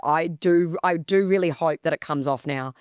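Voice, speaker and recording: Smooth, lowish-voiced Australian woman talking racing. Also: moderate pace, a little mellow, no room sound, clean studio sound. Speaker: co-host of a horse racing preview show. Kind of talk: casual racing chat and commentary.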